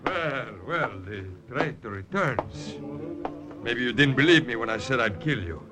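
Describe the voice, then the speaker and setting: An actor playing a hard, suspicious Italian partisan leader, menacing and commanding, in old radio drama style. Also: male voice, mid-range voice, lively intonation, a little slow, music in the background, lightly acted. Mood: cold, menacing. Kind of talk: 1940s-50s radio acting. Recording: old-time radio broadcast recording.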